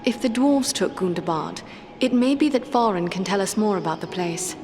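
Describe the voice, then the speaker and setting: A woman's voice: mid-range voice, lively intonation, normal speed, noisy background, natural voice. An actress playing a resolute, earnest elf woman in a fantasy game, with formal, composed phrasing. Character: resolute young female elf adventurer, earnest and grave. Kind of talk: scripted fantasy game dialogue.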